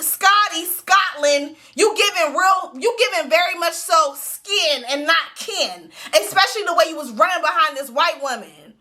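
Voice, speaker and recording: Bright high-voiced woman giving blunt commentary. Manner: blunt, animated, profane. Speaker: commentary show host. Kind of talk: casual reality-show commentary.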